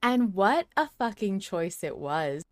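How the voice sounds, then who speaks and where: A woman's voice: medium pitch, very animated, slow pace, no room sound, clean studio sound. A woman hosting a podcast in casual discussion, chatty and candid, with some casual swearing. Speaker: podcast host.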